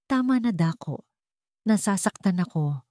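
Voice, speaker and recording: A woman voicing an anguished, betrayed young wife and mother in a dramatic story, emotional and raised in pitch. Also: animated, a little slow, warm tone, no room sound, clean studio sound, lightly acted. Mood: pleading. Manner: emotional, pleading or crying out.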